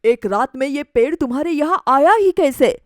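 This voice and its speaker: A bossy village boss man, put on by a woman in a cartoon moral tale. He is greedy and boastful, with a high-pitched voice and a dry, close sound. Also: lively intonation, moderate pace, no echo. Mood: incredulous, demanding. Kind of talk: animated moral story dialogue.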